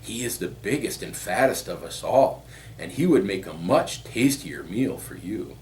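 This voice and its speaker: A man's voice: fairly low pitch, animated, measured pace, a little mellow, a little hiss or hum. A man voicing a nervous but composed middle billy goat brother in a storybook, earnest and persuasive. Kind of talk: storybook character voice.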